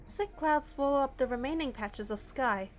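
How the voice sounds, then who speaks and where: A high-voiced woman narrates a game story in the first person. She reads from a script, with light music behind her. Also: moderate pace.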